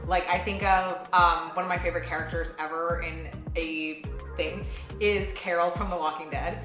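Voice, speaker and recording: A woman's voice: fairly low pitch, pushed and bright. A woman giving a casual, reflective interview as a voice actor, talking expressively and emphatically and correcting herself as she goes. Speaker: voice actor in an audio drama. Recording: roomy echo with music behind. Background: music.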